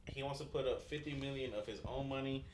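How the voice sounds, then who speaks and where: A man's voice: mid-range voice, steady tone, quick pace, poor audio. A laid-back man chatting casually on a podcast, swearing freely. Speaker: podcast co-host. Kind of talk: casual chat.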